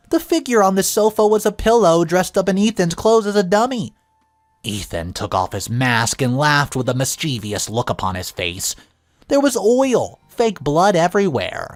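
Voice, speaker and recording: A narrator delivers a scripted first-person story with very lively, rising and falling intonation. The voice sits in a middle register and is recorded very dry, with music playing behind it. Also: medium pitch, no room sound.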